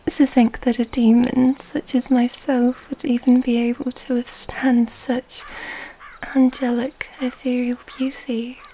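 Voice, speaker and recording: Woman performing a mysterious female vampire, breathy whisper, seductive and teasing, even melody, faint background noise, intimate ASMR roleplay. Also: a little high, poor audio. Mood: admiring, tender. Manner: slow, teasing, formal old-fashioned wording.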